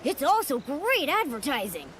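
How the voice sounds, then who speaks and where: A woman voicing a small, chatty, anxious companion creature with an expressive manner in a video game cutscene. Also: high voice, moderate pace, heavy background noise. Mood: impressed.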